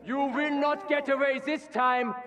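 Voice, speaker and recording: A man's voice: very high voice, steady tone, measured pace, very noisy. An actor playing a menacing Nazi officer who hunts his prey, calling out tauntingly. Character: menacing male Nazi officer hunting a sniper.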